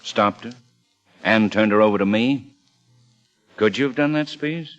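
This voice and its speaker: An actor playing a shrewd, unhurried American police lieutenant in 1950s radio crime drama, dry and probing. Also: male voice, lowish voice, natural voice. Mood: quietly probing. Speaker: police detective. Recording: muffled old radio broadcast, music behind.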